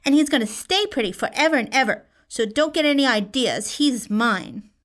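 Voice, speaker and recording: Squeaky-high excitable little girl. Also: moderate pace. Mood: adoring. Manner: excitable, childish. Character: bouncy, cute, nosy little sister girl. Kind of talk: audio drama acting.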